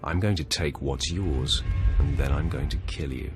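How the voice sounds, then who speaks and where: Super deep young sith acolyte, cold n arrogant, threatening, game cutscene with music under it. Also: male voice, moderate pace. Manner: cold, threatening, self-assured. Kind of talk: video game voice acting.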